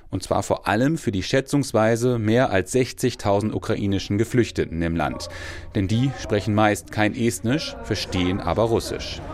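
A man narrating a scripted radio feature as a reporter, in a measured, even delivery. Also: on the low side.

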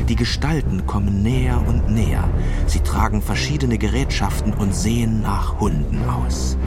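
A man narrating a scripted children's story as a storyteller. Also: fairly low pitch.

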